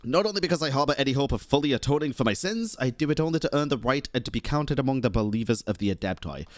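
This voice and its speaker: A man's voice: mid-range voice, animated, quick pace, no room sound, clean studio sound. A young man streaming a game, reacting informally and reading dialogue aloud in casual commentary. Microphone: close streaming mic. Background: game music.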